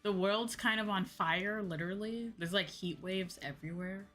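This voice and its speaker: A woman's voice: mid-range voice, moderate pace, fairly bright tone. A woman hosting a livestream, chatting casually and informally, repeating herself for emphasis. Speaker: VTuber streamer hosting a chat stream. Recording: background music behind voice. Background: music.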